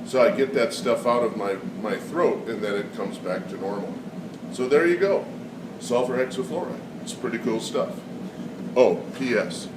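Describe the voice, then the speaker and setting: A man with a cold explaining a science demonstration in a casual, halting way with frequent fillers. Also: medium pitch, noisy background. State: has a cold. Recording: echoey room, far from mic, loud air conditioning.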